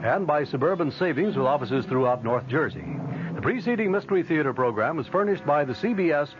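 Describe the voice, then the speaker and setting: A man's voice: on the high side, expressive, brisk, background noise. An American man reading scripted promotional announcements as a radio station announcer. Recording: dull 1970s radio broadcast.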